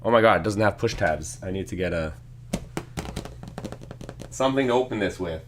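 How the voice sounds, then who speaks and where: A man with a hoarse, congested voice from a sinus infection, casually chatting through a live stream while building a set and reading the chat. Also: deep voice, animated, moderate pace, noisy background. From Canada. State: losing his voice from a sinus infection.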